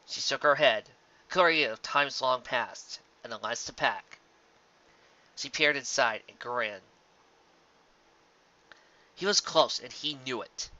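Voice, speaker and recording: Someone narrating fan fiction, measured pace, sharp bright voice, kinda muffled home recording. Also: mid-range voice. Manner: measured, occasionally stumbling over words. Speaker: amateur audiobook narrator. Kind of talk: scripted fan fiction narration, dramatic reading.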